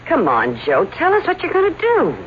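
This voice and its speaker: Anxious, scheming showman's wife: hugely animated pitch, old-time radio drama, muffled noisy recording. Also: normal speed. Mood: worried, pleading. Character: anxious but scheming wife of a stage escape artist. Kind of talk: vintage radio drama acting.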